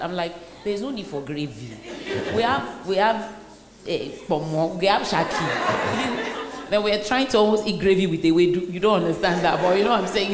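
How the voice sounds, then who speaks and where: A Nigerian woman hosting a live session, telling stories in an animated way with bursts of laughter. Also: on the low side. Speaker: host. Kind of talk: lively onstage conversation. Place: large hall. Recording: echoey hall with faint noise.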